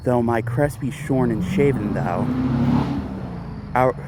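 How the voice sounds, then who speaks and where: A man, an amateur reader, recites Gothic poetry aloud in measured, dramatic phrases with pauses while out walking. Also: mid-range voice. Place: outdoors, walking a dog. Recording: outdoor street noise, vehicles, jangling keys.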